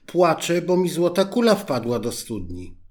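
A man voicing a spoiled, fretful young princess in a fairy tale, with high exclaiming speech. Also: sing-song, unhurried, a little mellow. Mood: haughty.

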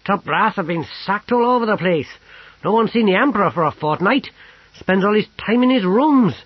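A burly, battle-scarred old sergeant turned cook played by a man reading a story, blunt and matter-of-fact. He grumbles in a husky, animated voice, pushed higher than the reader's own. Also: moderate pace, dry recording, muffled recording. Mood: grumbling.

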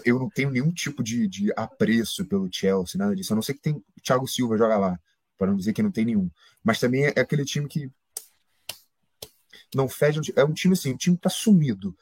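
Husky excitable man, podcast co-host. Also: medium pitch, a little fast, no echo. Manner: excitable, swears a lot, jokey. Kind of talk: casual football chat. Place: home studio, live stream.

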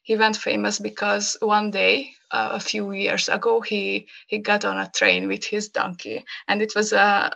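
A young Hungarian woman speaking English as a guest, talking casually with many pauses and fillers. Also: mid-range voice, little pitch movement, vocal fry, pushed and bright. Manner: hesitant, many fillers, laughs often. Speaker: podcast guest. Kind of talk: casual interview conversation.

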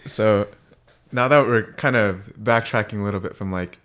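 A man's voice: lowish voice, moderate pace, a bit bright. A young man hosting a podcast in a laid-back, friendly way, calling his guest "dude" and "man". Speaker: podcast host. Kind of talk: casual chat.

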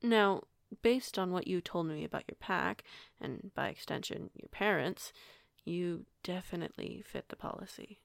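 A woman playing a composed, kindly werewolf pack alpha in an audio roleplay, speaking in a formal, measured, authoritative way. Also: fairly low pitch, expressive, gravelly, no echo, studio quality. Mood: warm, businesslike.

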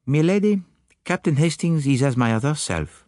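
Audiobook detective played by a male reader: confident, theatrical Belgian, French-speaking accent, raised pitch, very animated, courteous and precise. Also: normal speed, no room sound, clean studio sound, natural voice. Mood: courteous, measured. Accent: French-speaking Belgian, put on. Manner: formal, courtly phrasing with French words.

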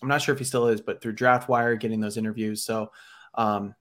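A man's voice: on the low side, fairly even intonation, brisk, fairly bright tone. Easygoing man hosting a podcast. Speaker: podcast host. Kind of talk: casual podcast chat.

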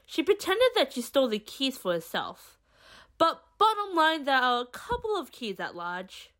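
A woman's voice: high-pitched, wildly swooping pitch, normal speed, fairly bright tone, exaggerated voice. A woman playing a brash, sardonic female troublemaker in an audio drama, with a flippant way of talking. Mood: sheepish.

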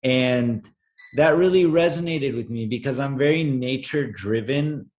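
A man's voice: mid-range voice, measured pace, a little mellow. A man from Los Angeles talking in an earnest, reflective way about nature and spirituality in a casual conversation. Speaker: guest, brand co-founder.

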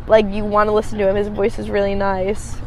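A young woman with a slightly raspy voice, co-hosting a casual podcast chat, giggly, blunt and crude. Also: medium pitch, monotone, a little fast, a little mellow, slight background noise. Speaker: podcast co-host.

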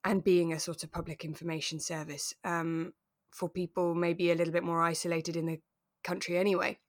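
A woman co-hosting a podcast, chatting in a relaxed, explanatory way with playful asides. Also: lowish voice, brisk, dry recording, studio quality. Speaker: podcast co-host. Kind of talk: relaxed casual discussion of a radio drama.

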